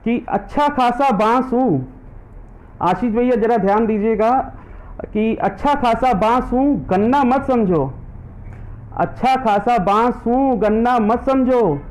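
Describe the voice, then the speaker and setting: A man's voice: very high voice, slow pace, a little mellow, low-quality recording. A man reciting his own comic and devotional verses live online. He says every line twice and stops between poems to greet viewers by name. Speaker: poet; government teacher.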